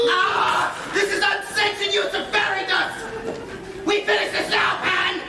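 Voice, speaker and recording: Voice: extremely high pitch, slow, crowd noise, full character voice. Sly theatrical pirate villain, distant and echoing. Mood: furious. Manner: mocking, grandiose, sneering delivery. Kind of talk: live stage play acting. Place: indoor stage of a theatre. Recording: echoey theatre, distant mics, noisy room.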